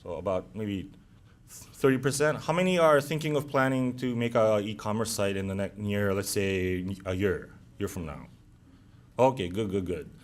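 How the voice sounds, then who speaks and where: A man in his thirties from Japan, a native Japanese speaker with a Japanese accent, giving a seminar presentation in a halting way with many "uh" fillers. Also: on the low side. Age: 30s. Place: seminar room.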